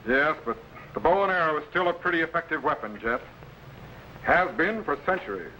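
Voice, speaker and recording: Male space-time explorer: calm authority, crisp measured orders, bright tone, faint hiss of an old serial. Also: on the high side, rough recording. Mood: calm, instructive. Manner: instructive, precise, measured commands. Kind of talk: 1950s science fiction serial acting.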